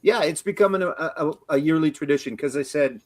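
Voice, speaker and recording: A man's voice: fairly high pitch, brisk, dry recording, studio quality. Easygoing Canadian man chatting casually. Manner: relaxed, rambling with restarts. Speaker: show host. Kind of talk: casual chat.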